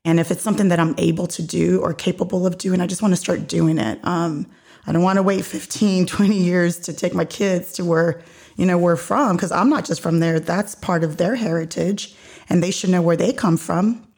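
A woman's voice: fairly low pitch, steady tone, normal speed, vocal fry, darkish tone, slightly rough. A woman born in Puerto Rico hosting a solo podcast, chatting in a rambling way with many ums and restarts. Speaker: podcast host. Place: home in Georgia, USA.

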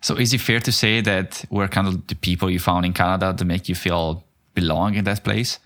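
A man's voice: deep voice, steady tone, fairly quick, fairly bright tone. A man who interviews a guest, asking curious, long winding questions full of "like". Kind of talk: conversational interview.

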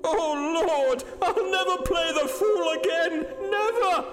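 A man voicing a hysterical, frightened amateur actor in an audiobook, high and gasping, with stammering, breathless delivery. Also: huge pitch swings, a little slow, roomy sound, big-room sound, loud music behind the voice, exaggerated voice. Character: terrified hysterical amateur actor, shaken by a ghost. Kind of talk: audiobook character acting.

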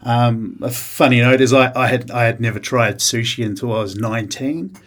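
A man in his forties from New Zealand with a New Zealand accent, a chef talking casually in an interview, pausing often with fillers and restarts. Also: mid-range voice, fairly even intonation. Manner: hesitant, many uh fillers and restarts.

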